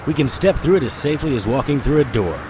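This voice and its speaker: A man's voice: medium pitch, lively intonation, fast, noisy background, natural voice. An actor in an audio drama playing a wisecracking male occult investigator, cocky, flippant and theatrical.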